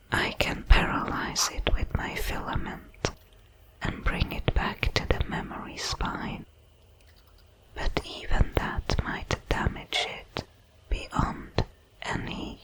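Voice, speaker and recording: A woman voicing a detached, curious alien creature in a sci-fi ASMR roleplay, whispering in slow, deliberate, clinical phrases. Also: natural voice, rough recording. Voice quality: breathy whisper.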